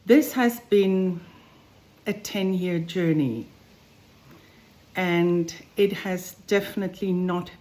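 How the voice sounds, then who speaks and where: A woman with a South African accent, telling her own health story slowly and thoughtfully, with many pauses. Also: fairly low pitch, darkish tone. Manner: slow, thoughtful, pausing between phrases. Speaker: vlogger sharing a personal health story. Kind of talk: personal first-person account to camera. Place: home on a farm, roosters crowing outside.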